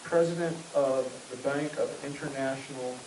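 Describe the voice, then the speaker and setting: A man reading a text aloud in an even, steady way for another speaker. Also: medium pitch, normal speed, reverberant, noisy background. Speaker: reader for the speaker.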